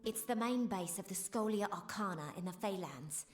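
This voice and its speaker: A woman's voice: mid-range voice, lively intonation, moderate pace, close to an everyday voice. A woman voicing a dutiful, earnest mage scholar with formal phrasing in a fantasy video game. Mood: earnest, reflective. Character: dutiful female mage scholar stationed in a village. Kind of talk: scripted game dialogue. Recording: roomy echo, background music.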